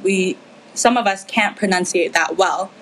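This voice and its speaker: A woman's voice: mid-range voice, unhurried, fairly bright tone, slight background noise, rough recording. A teenage girl from the Philippines talking earnestly and with feeling in a casual discussion, with frequent fillers. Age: teens. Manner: earnest, impassioned, many fillers.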